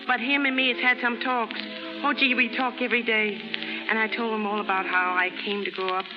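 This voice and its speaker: A woman's voice: a little high, level tone, fairly quick, close to an everyday voice. An actress playing a brash, naive young chorus girl from the slums in 1930s American radio drama, with slangy, ungrammatical street talk. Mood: eager, lovestruck. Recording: muffled 1930s radio recording with music.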